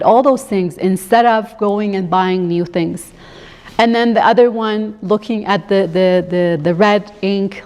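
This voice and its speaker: A woman's voice: mid-range voice, darkish tone. Woman presenting in a reverberant hall. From grew up in Canada, born in Iran. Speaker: architecture instructor presenting student work. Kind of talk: conference workshop presentation. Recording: echoey hall, long reverb.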